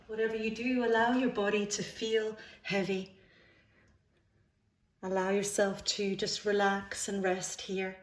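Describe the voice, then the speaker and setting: A woman with a mid-pitched voice leads a guided meditation. She speaks soothingly in unhurried phrases, leaving pauses between them. The sound is noticeably echoey, as in a reverberant room. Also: little pitch movement. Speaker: yoga nidra instructor. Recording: roomy, echoing sound.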